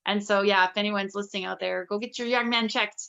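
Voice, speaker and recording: A woman's voice: mid-range voice, steady tone, fairly quick, vocal fry, bright, forceful tone. A woman in her forties interviewing a guest in a conversational way, on slightly lo-fi audio. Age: 40s. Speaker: interviewer, summit host.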